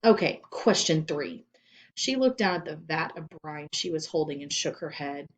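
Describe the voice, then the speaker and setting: A woman reading children's fiction aloud as expressive scripted narration. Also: low-pitched, normal speed, low-quality recording. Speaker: storybook reader.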